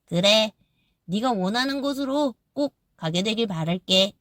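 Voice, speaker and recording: A woman voicing a kind, gentle tree who talks calmly and with some wonder. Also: medium pitch, a little slow, no room sound, clean studio sound, pitched above the performer's own voice.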